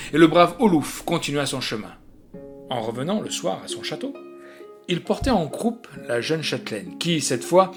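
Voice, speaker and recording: A man's voice: mid-range voice, a bit bright. A man reading literary fiction as an audiobook narrator, in a dramatic storytelling manner.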